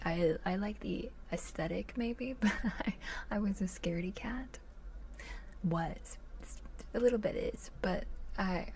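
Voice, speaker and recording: A woman chatting casually in a soft, breathy voice that often drops to a whisper, in a gentle ASMR livestream style with hesitant musing. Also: medium pitch, slight background noise. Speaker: ASMR live streamer. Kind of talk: soft ASMR chat with viewers, much of it whispered.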